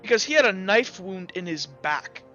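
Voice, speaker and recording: A man playing a skeptical, methodical courtroom prosecutor who presses with halting, repeated questions in a tabletop roleplay. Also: sits high, expressive, pushed and bright, highs cut off, soft background music. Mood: probing.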